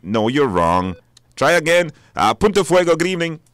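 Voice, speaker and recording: A man's voice: on the high side, big pitch swings, normal speed, fairly bright tone, dry recording. A man hosting a live radio show, announcing informally with hesitations and repetition. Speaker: radio talk show host. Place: dry radio studio.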